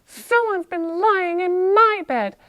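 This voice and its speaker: A woman voicing an indignant Mama Bear with a measured, medium voice in a children's storybook tale. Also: expressive, a bit bright, muffled recording, full character voice. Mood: mild indignation. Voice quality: medium-pitched voice.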